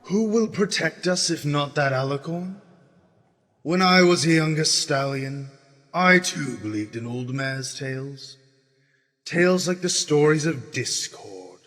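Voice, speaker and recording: A man plays a fiery pony demagogue in a radio drama, with a forceful, bright voice ringing through a long, reverberant hall. He speaks in rhetorical, rousing oratory, rallying citizens against a tyrant. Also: a little high, animated, measured pace. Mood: scornful, persuasive. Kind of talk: radio drama political speech.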